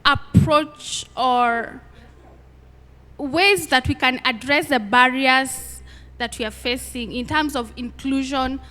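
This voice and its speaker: A woman giving a personal testimony to an audience, halting and pausing between short phrases. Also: high voice, bright, forceful tone. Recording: echoey hall, noisy background.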